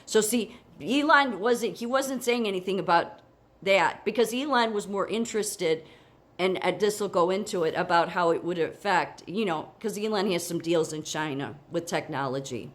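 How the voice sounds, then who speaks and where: Woman, lower voice, congested with a cold, casual talky commentary, lots of restarts and "okay?" asides. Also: moderate pace. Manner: chatty, frequent restarts and asides, asks "okay?". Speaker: political commentator. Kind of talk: casual commentary reading a news article aloud.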